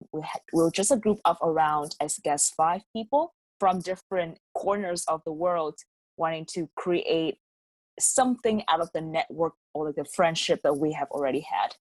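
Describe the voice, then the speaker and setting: A woman's voice: low voice, darkish tone, no echo, poor audio. A woman student and co-founder explaining how her youth network started, in a casual, explanatory way. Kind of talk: panel conversation.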